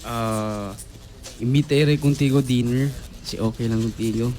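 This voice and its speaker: A man's voice: medium pitch, steady tone, moderate pace, lightly acted. A man with a Zamboanga Filipino accent playing an apologetic, hesitant suitor in an improvised comic radio drama. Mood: sheepish. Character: apologetic, stingy suitor inviting a woman to dinner.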